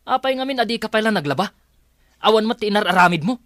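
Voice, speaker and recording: A man's voice: high voice, lively intonation, fast talker, a bit bright, dry recording, studio quality. A man with an Ilocano accent playing a surly, domineering husband in a radio-style drama. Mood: irritated.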